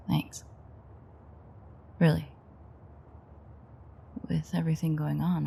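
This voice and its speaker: A woman's voice: low-pitched, mellow, slight background noise, full character voice. A woman playing a playful, flirtatiously menacing ancient vampire in an intimate roleplay audio drama, murmuring wryly and often close to a whisper. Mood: soft, sincere. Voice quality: breathy, husky, often near-whisper. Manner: teasing, wry, murmured.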